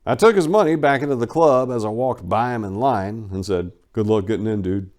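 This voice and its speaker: A man's voice: fairly low pitch, fairly quick, darkish tone. A man reading his own book as a dramatic first-person narration, with a colloquial, cocky storytelling manner.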